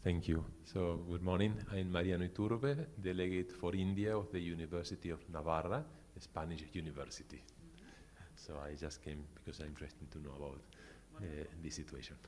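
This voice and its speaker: A deep-voiced man introduces himself as a university delegate. He speaks quietly and politely. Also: a little fast.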